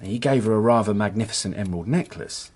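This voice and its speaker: A man's voice: lowish voice, fast talker, darkish tone, breathy edge. A man playing a nervous petty crook and hapless henchman in a radio drama, with an English accent and a hesitant, self-excusing manner. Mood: storytelling. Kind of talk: British radio drama acting.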